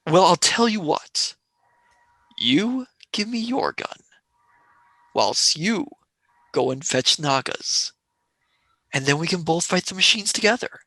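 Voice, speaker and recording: A sardonic young male space traveller, acted by a voice actor in a radio play. The pitch swings widely and the tone is bright. The sound is dry and close to the mic. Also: sing-song, slow pace, long pauses, no room sound, full character voice, low-quality recording. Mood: wry. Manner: sarcastic, wheedling.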